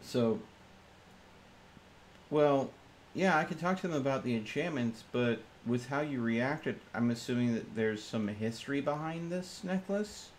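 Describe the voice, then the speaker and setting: A man's voice: medium pitch, expressive, unhurried. Man roleplaying, noisy room. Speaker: player in a tabletop roleplaying stream. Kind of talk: casual in-character roleplay and table banter.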